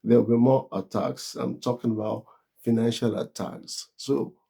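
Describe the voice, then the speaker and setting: Gravelly Nigerian man giving earnest warnings. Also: mid-range voice, level tone, normal speed, mellow. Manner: earnest, emphatic warnings, repeats phrases. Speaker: vlogger. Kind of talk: casual talk to camera.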